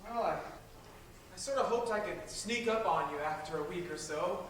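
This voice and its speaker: A man on stage playing an earnest, awkward grown son who is quick to get impatient. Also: high-pitched, a bit bright. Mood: awkward, tentative. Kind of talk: naturalistic stage play acting. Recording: echoey hall from far off, noisy.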